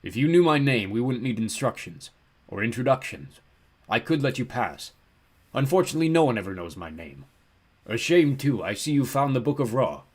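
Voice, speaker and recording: A man acts out a lonely, ancient ram-headed giant guarding a gate in a storybook tale. The voice booms with a bleating edge, mildly courteous in its threats and heavy with melancholy. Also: big pitch swings, moderate pace, husky. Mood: regretful. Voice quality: booming, bleating.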